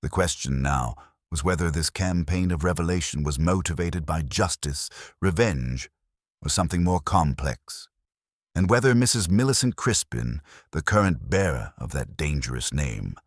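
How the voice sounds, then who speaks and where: A man narrating a scripted mystery story in a measured, formal, literary manner. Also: rumbling low, lively intonation, dry recording, studio quality.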